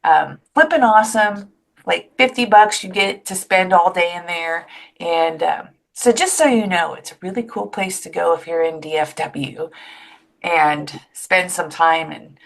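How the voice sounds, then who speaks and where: A woman's voice: fairly low pitch, unhurried, gravelly. Chatty woman podcast host. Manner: chatty, enthusiastic, rambling asides. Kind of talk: casual interview chat.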